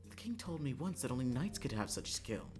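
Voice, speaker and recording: A man's voice: mid-range voice, big pitch swings, fairly quick, over music, lightly acted. A man voicing a haughty, stubborn young princess in a visual novel, curt and easily annoyed. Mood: haughty, questioning. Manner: curt, defiant. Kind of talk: visual novel read-aloud.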